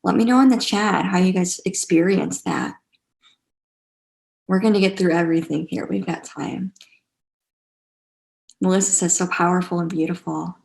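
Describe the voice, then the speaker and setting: A woman teaching a spiritual class online in a casual, gentle and encouraging way, repeating phrases for emphasis, with a creaky voice. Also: fairly low pitch, fairly even intonation, moderate pace, long pauses, low-quality recording. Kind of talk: casual guided teaching to a live online group.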